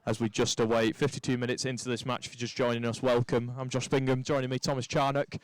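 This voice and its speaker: A young man from St Helens, giving lively live rugby commentary with chatty asides. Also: medium pitch, fast talker, dry recording. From St Helens, England. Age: young adult.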